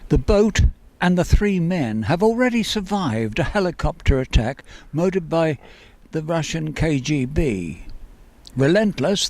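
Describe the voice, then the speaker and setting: A man reading in a measured, deliberate storytelling way, as an author presenting his own novel. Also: fairly high pitch. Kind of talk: scripted narration and book reading. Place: on location at a coastal narrows.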